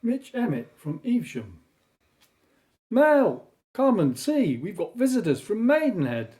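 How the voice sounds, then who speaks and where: A woman's voice: mid-range voice, big pitch swings, moderate pace, warm tone, close to an everyday voice. A woman playing a blunt, hearty English householder in a radio drama. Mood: brusque, then welcoming. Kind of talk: audio drama acting.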